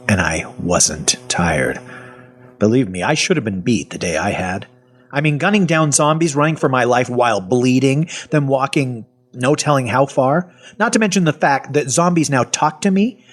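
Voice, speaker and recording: Male actor as wry half-zombie survivor for audio fiction: husky, very animated, folksy and sardonic. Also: fairly low pitch. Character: agitated, sardonic half-zombie man telling his survival story. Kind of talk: first-person audio fiction narration with acted dialogue.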